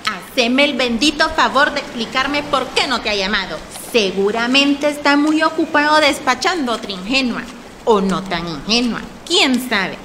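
High-voiced, blunt Honduran woman. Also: animated, moderate pace, pushed and bright, a little hiss or hum. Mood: indignant. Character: Tota, outspoken, warm, emotional Honduran immigrant shopkeeper woman. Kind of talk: radio soap opera acting.

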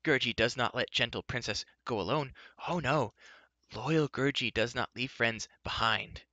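A man's voice: mid-range voice, moderate pace, pushed and bright, dry recording, highs cut off. A man voicing a small wild creature for a storybook, whiny, boastful and loyal, speaking of himself in sing-song rhymes. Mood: proud, reproachful. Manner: third-person self-praise in sing-song rhymes.

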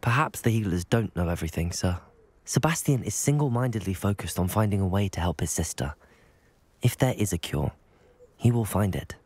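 A young man with an English accent playing an earnest, polite teenage wizard student in a video game, explaining things in a measured way. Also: deep voice, animated, darkish tone, breathy edge. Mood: polite, insistent. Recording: background music under dialogue.

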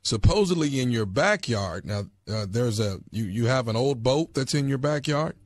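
Man playing stern, halting neighborhood official. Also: medium pitch, lively intonation, dry recording, clean studio sound. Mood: officious, measured. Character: stern, bureaucratic male neighborhood enforcement official. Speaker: prank caller. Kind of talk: prank phone call.

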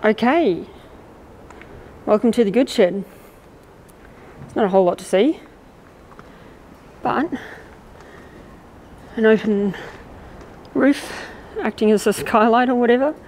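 A woman's voice: a little high, takes it slowly, pauses often, a little mellow, a little hiss or hum, low-quality recording. A woman giving casual on-location commentary at a rural railway station, rambling and thinking aloud with fillers, recorded on a handheld camera mic. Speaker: railway video maker.

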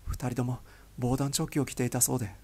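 A man's voice: medium pitch, flat delivery, fast talker, darkish tone, natural voice. Male reader as alarmed doctor, urgent report, shaken, audiobook thriller.

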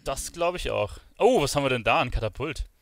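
A man's voice: mid-range voice, expressive, brisk, vocal fry, crowd noise. A man streaming a video game, thinking aloud in informal commentary sprinkled with English gamer slang. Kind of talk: casual live gaming commentary.

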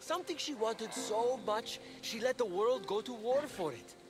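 An actor playing a gossipy, flippant young messenger god in a video game, catty and breezy. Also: male voice, very high-pitched, animated, moderate pace, music in the background. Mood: scheming, catty. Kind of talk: video game cutscene acting.

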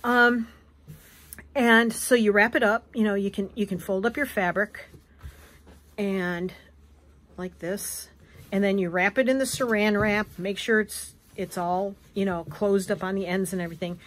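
A woman hosting a craft video, hoarse and wheezy from allergies, chatting casually as she shows fabric at a table. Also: medium pitch, fairly even intonation, measured pace, a bit bright. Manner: chatty, rambling, self-correcting. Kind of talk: casual show-and-tell chat. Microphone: camera above a tabletop, speaker off frame.

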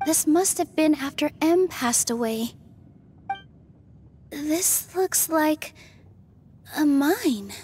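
Gentle young female, high pitch, unhurried, thoughtful, lilting, video game dialogue. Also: lively intonation, a little hiss or hum, heavily acted.

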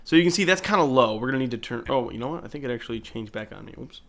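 A man's voice: medium pitch, expressive, very fast, vocal fry, a bit bright, a little hiss or hum. A man narrating a video editing tutorial in an informal, explanatory way. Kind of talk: casual screen-recorded tutorial narration. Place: indoors, voice-over at a computer.

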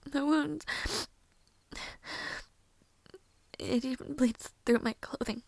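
A very high-pitched, breathy young maid, acted by a woman in an intimate audio roleplay. She is devoted and fragile, speaking very slowly in halting, tender half-whispers. The sound is close and dry. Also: a little mellow, no echo, exaggerated voice. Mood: weary, reassuring.

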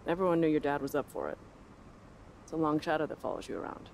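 A woman's voice: low-pitched, big pitch swings, fast talker, creaky, darkish tone, full character voice, low-quality recording. Cool sardonic american lady reporter in a video game, pointed and sure of herself, bit of noise behind. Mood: earnest, unyielding. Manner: pointed, sardonic, self-assured. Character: sharp, ambitious female sports reporter, cool and provocative. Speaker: sports journalist character. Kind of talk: video game cutscene dialogue.

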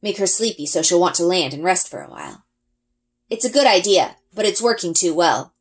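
A woman reading a first-person children's adventure story aloud in an expressive storytelling style. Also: lowish voice, fairly quick. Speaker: read-aloud reader, elementary school.

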